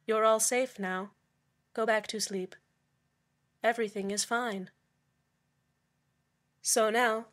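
A woman's voice: a little high, close to an everyday voice. A woman playing a falsely cheerful, menacing community radio host in a scripted audio drama, soothing, condescending and polite. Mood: soothing, ominous. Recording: music bed under the voice.

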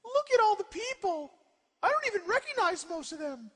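Casting: woman as excitable young cartoon ninja, high voice, wildly swooping, brash and blunt. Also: a little fast, long reverb tail, full character voice. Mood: excited, appalled. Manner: exclamatory, blunt. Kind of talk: comic anime parody dub acting.

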